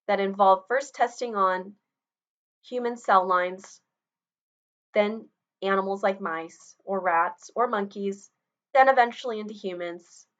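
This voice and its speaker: A woman's voice: medium pitch, fairly bright tone, highs cut off. Woman teacher giving a casual webcam lecture. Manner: conversational, explanatory, personal asides. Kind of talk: recorded online lecture. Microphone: webcam video recording.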